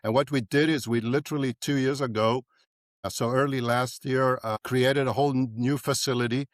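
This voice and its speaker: A man's voice: mid-range voice, a little fast, dry recording. Older man, dry close sound. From South Africa. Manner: animated, repeats "right?" often. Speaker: dentist guest. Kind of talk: podcast interview.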